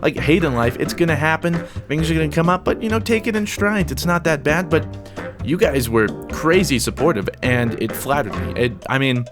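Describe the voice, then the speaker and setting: A man hosting a casual vlog, upbeat and energetic as he reads viewer comments. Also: a little high, normal speed, music in the background. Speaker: YouTube gaming channel host.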